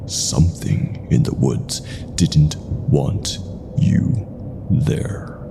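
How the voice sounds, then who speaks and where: Very deep, gravelly male horror narrator. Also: lively intonation, extremely slow, noisy background. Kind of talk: scripted first-person horror narration.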